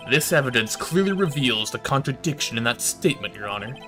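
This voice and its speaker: A man's voice: medium pitch, lively intonation, fairly quick, over music, close to an everyday voice. A man voicing an earnest young defense attorney from a courtroom visual novel game.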